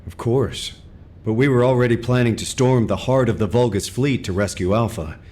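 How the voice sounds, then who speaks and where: A man's voice: mid-range voice, normal speed, darkish tone, natural voice. A man voicing an authoritative, caring commander who speaks in a composed, decisive way. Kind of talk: game cutscene dialogue.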